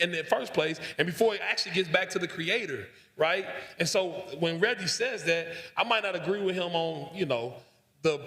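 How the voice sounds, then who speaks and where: Man, panel speaker, higher voice, emphatic, repeats points, echoey conference hall with long reverb. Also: sharp tone. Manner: emphatic, repetitive, conversational. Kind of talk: panel discussion remarks.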